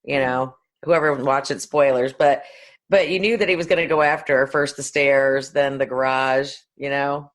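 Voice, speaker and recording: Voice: mid-range voice, steady tone, normal speed, fairly bright tone. A podcast co-host chatting casually in a blunt, informal way. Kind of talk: casual chat.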